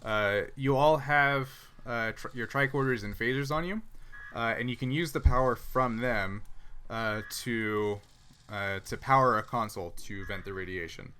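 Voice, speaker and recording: Man, game master, tabletop role-play, bright voice, halting explanations, faint noise behind. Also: medium pitch.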